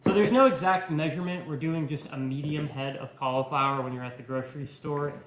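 A young man in his twenties giving a relaxed, step-by-step live cooking demonstration in a home kitchen, filmed by a camera at a distance. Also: on the high side, a little fast, reverberant, background noise. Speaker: cooking instructor.

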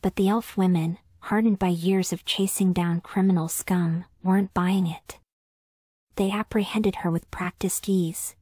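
Low whispering woman telling a story. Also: no room sound. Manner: soft whispered delivery. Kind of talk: ASMR story narration.

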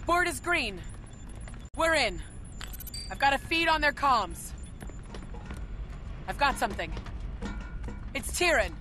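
A determined game soldier talks in clipped, urgent bursts. The voice sits high and swings widely in pitch, under loud music. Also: male voice, sing-song, slow pace, pauses often, exaggerated voice. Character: urgent, determined male soldier on a combat mission. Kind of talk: video game cutscene acting.

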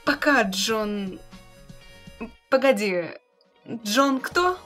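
A woman's voice: a little high, lively intonation, extremely slow, fairly bright tone, soft background music, heavily acted. A woman playing a smug, condescending young schemer with a theatrical manner in a webcomic reading. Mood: teasing. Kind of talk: dramatic reading of a webcomic chat.

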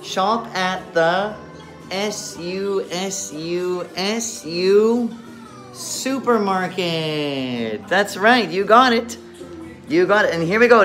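A middle-aged man teaching young students on camera, encouraging and enunciating deliberately, his voice slightly muffled by a face mask, recorded in a classroom at arm's length. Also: sits high, fairly bright tone. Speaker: children's English teacher. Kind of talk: talking young students through worksheets on camera. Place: indoor classroom. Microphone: phone or camera at arm's length.

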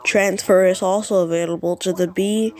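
A woman's voice: mid-range voice, steady tone, normal speed, a little mellow. Woman, mid-range voice, transit announcer, scripted announcements. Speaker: train announcer.

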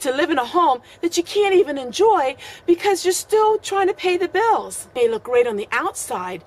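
A woman's voice: extremely high pitch, sing-song, moderate pace. A middle-aged woman talking casually to camera outdoors, explaining things earnestly and emphatically, often repeating her phrases. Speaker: homesteading vlogger and host. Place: outdoors on a deck and yard of a rural home.

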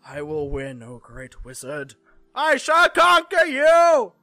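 A man voicing a bossy, theatrical teenage best friend in a visual novel, scolding loudly in mock-stern tones. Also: very high voice, huge pitch swings, takes it slowly, no echo, faint music behind, pitched above the performer's own voice, full character voice. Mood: hammy taunting.

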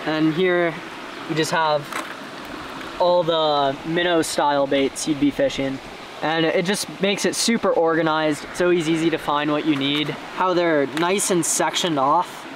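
Young man at a creek, upbeat and casual. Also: a little high. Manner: enthusiastic, relaxed, laid-back slang. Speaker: angler showing fishing gear. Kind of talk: casual gear walkthrough. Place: outdoors by a forest creek. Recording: creek water noise behind.

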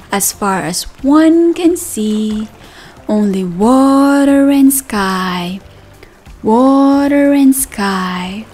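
A woman's voice: high-pitched, a little mellow. A woman reads a picture book aloud to toddlers as a teacher, in a sing-song, exaggerated manner, repeating words and asking questions. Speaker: children's read-aloud teacher. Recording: voice over background music. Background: music.

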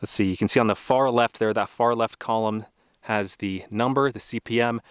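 A man's voice: on the low side, steady tone, normal speed, clear, resonant tone, dry recording, clean studio sound. Easygoing man vlogging outdoors. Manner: easygoing, thinking aloud, with small laughs. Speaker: travel vlogger. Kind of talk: casual outdoor vlog narration. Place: outdoors at a remote test site.

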